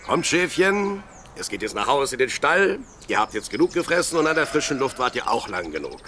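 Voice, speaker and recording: Young shepherd boy, dreamy and plucky, very animated radio play delivery, sheep and birdsong behind. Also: mid-range voice, a little fast. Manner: expressive, musing aloud to himself and animals. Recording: clear outdoor animal noise behind. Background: sheep, birds, outdoor ambience.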